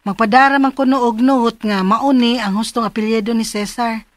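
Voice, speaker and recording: An actress playing a diligent, excitable young bride with a Cebuano accent in a radio drama. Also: female voice, on the high side, brisk, no echo, lightly acted. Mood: reassuring. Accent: Filipino, Cebuano. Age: young adult.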